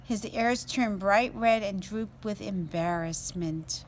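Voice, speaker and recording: A woman reading a children's story aloud as a storyteller, in an expressive, deliberate way. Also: mid-range voice, slight background noise.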